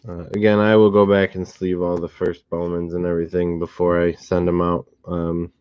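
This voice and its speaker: A slightly hoarse man hosting a card box break, calmly listing each card and who it goes to. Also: low voice, no echo, muffled recording. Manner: steady, unhurried listing of cards and recipients. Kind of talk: relaxed live box-break commentary.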